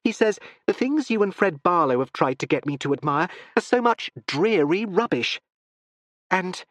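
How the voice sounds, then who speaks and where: A man's voice: high-pitched, fairly bright tone, no room sound, studio quality, voice pushed up. A man reading an audiobook and voicing a spirited young woman who pleads breathlessly and urgently.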